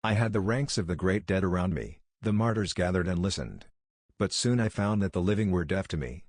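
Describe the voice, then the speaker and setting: A man's voice: low voice, fairly quick, a little mellow, no room sound, clean studio sound. A man voicing a frail, ailing visionary scholar who speaks with fervent, solemn, old-fashioned phrasing.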